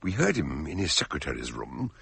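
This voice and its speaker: An actor playing a dignified, wordy gentleman investigator recounting his adventure in a radio drama, formal and donnish. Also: rumbling low, big pitch swings, brisk, gravelly, slightly rough, exaggerated voice. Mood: wry recounting. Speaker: investigator and narrator. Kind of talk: radio drama acting with storytelling narration.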